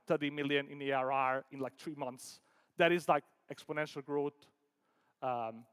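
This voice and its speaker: A man from Denmark giving an informal conference talk as a startup founder, halting and conversational, peppering sentences with filler words like "like" and "you know". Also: a little high, clean tone. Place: conference hall stage. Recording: echoey hall with long reverb.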